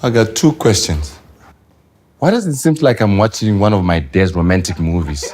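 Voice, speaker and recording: A man's voice: lowish voice, animated, moderate pace, noisy background, lightly acted. A man acting as a suspicious, teasing young brother in a TV sitcom, slangy and mock-stern. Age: young adult.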